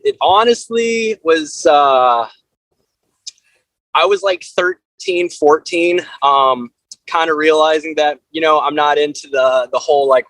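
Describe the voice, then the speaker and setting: A man from South Carolina chatting casually as an interview guest, in a loose, halting way full of filler words. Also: fairly high pitch, fairly bright tone, dry recording, rough recording. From South Carolina, USA. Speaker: interview guest, band frontman. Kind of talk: casual chat.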